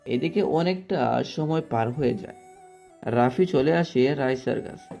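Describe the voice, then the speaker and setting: Casting: male narrator for sad love stories, soft dark tone, average pitch, music bed. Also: normal speed. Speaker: story narrator. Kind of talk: scripted narration of a romantic story.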